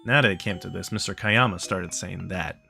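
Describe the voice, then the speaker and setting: Low-voiced man reading a game aloud. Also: a little fast, vocal fry, pushed and bright, faint music behind. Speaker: let's play host reading the game's text aloud. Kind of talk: casual playthrough commentary mixed with read-aloud narration.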